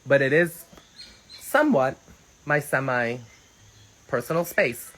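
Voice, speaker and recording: A man's voice: medium pitch, lively intonation, takes it slowly, a bit bright. A man whose first language is Thai, chatting casually on a live stream, reading viewer comments and rambling while laughing at himself. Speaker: drag performer on a live stream.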